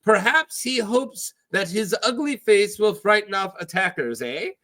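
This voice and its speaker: Fantasy storybook pirate captain, man performing: high-voiced, flamboyant, teasing, theatrical foreign accent. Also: expressive, bright, forceful tone, exaggerated voice. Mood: mocking, scheming. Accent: put-on foreign. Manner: theatrical, lilting, halting delivery.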